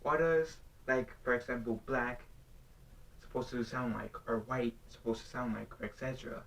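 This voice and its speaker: Halting young man, set back from the mic. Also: mid-range voice, gravelly, fairly bright tone, a little hiss or hum. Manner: halting, rambling, earnest questioning. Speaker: vlogger. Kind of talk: unscripted talk to camera. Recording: voice somewhat distant from the mic.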